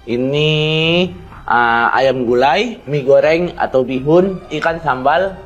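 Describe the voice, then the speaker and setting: A man's voice: medium pitch, takes it slowly, dull audio, faint music behind. A man in his thirties from Indonesia, answering questions casually with many hesitations. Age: 30s. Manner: hesitant, with fillers. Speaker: interviewee, groom. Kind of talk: casual spoken replies.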